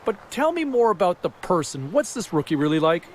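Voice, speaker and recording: An actor playing an upbeat, chatty sports radio host interviewing guests in a video game. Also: male voice, high voice, very animated, fairly quick, slight background noise. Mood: upbeat, inquisitive.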